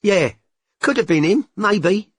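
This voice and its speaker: A man voicing a cocky, smug man with a husky voice, in an audiobook, blunt and slangy. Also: sits high, lively intonation, takes it slowly, a bit bright, no room sound, pitched above the performer's own voice, heavily acted. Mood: offhand. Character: cocky working-class English man, chatty, smug. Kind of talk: audiobook character voice.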